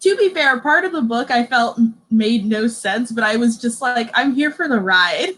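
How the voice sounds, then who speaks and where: Higher-voiced woman hosting a casual chat. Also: animated, moderate pace, a bit bright. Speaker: discussion host. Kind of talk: casual livestream book discussion.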